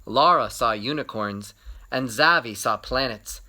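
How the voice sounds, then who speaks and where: Bright-voiced man reading to children. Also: medium pitch, a little slow. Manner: expressive, with varied emphasis. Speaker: read-aloud storyteller. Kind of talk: expressive picture-book narration for children.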